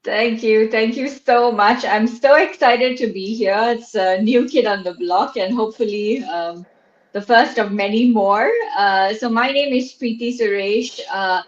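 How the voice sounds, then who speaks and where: A woman's voice: a little high, a little slow, echoey room, lo-fi sound. A woman from Malaysia speaking in a friendly, giggly way as a panelist in an online discussion. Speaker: HR practitioner, panelist.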